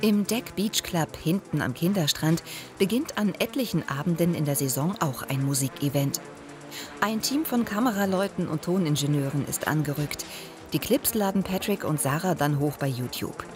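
Documentary narrator: woman, low-pitched, measured scripted voice-over with music behind. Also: expressive. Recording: background music under the voice-over.

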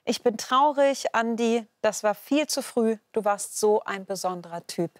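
Lady tv presenter reading celeb news, higher voice, very dry sound. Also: unhurried, no room sound. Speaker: TV magazine presenter.

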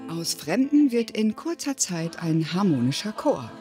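Narrator note: woman, animated pitch, scripted report, faint music under. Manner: measured voice-over delivery.

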